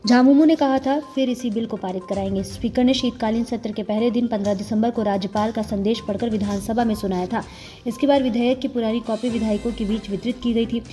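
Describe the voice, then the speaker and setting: A woman's voice: fairly high pitch, steady tone, a little fast, warm tone, soft background music. A woman delivering scripted news narration as a news narrator.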